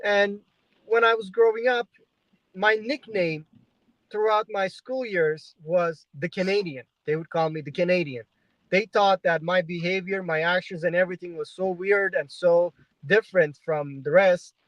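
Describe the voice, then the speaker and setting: A man's voice: sits high, steady tone, measured pace, fairly bright tone, no room sound. Young man from Turkey, dull dry sound. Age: 20s. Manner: conversational storytelling with frequent fillers. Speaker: guest journalist. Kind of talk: livestream interview. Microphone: video call.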